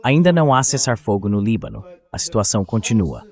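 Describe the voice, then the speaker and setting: Man, low voice, Brazilian accent, AI voice-over, conversational news discussion. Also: big pitch swings, quick pace, a little mellow. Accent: Brazilian Portuguese.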